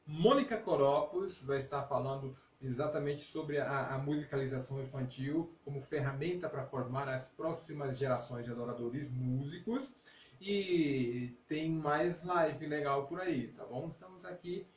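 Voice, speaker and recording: A man's voice: on the high side, moderate pace. A middle-aged man hosting a casual devotional live stream, encouraging listeners conversationally with frequent check-in tags. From northeastern Brazil (born in Alagoas, raised in Paraíba). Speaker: ministry leader and live-stream host. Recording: roomy, distant mic with clear noise.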